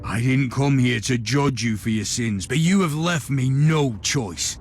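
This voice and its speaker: A man's voice: mid-range voice, measured pace, faint music behind, close to an everyday voice. A man playing a zealous, stern imperial inquisitor in a video game, speaking in a formal, commanding way. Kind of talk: dramatic video game acting.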